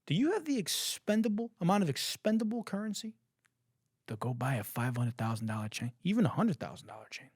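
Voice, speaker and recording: Animated, profane male podcast host. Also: a little high, brisk, breathy edge, dry recording, studio quality. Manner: profane, laughing, incredulous ranting. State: hoarse, says he has lost his voice. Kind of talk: casual comedic commentary.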